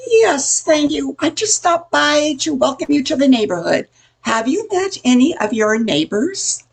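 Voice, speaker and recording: A woman's voice: sits high, big pitch swings, unhurried, vocal fry, a little hiss or hum, full character voice. An older woman playing a nosy, gossipy welcome-wagon neighbor in a radio comedy, chatty and full of insinuation. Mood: chirpy, welcoming. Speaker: amateur radio play performer. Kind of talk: old-time radio comedy acting.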